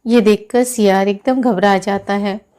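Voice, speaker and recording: Female storyteller for children's moral tales, medium pitch, very slow, measured delivery. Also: steady tone, warm tone, clean tone.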